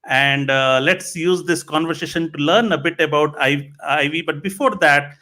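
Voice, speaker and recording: A man hosting a live interview podcast, speaking in a halting way and repeating his phrases. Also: a little high, sharp tone. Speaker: podcast host.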